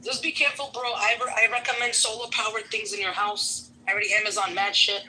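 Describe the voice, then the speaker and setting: A woman's voice: mid-range voice, steady tone, fast talker, sharp tone, slight background noise, poor audio. A woman from New York who works as an immigration law clerk, talking bluntly and profanely in a casual voice-chat room, firing off rhetorical questions. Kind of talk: heated casual chat on a voice-chat app. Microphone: phone mic on a voice-chat app.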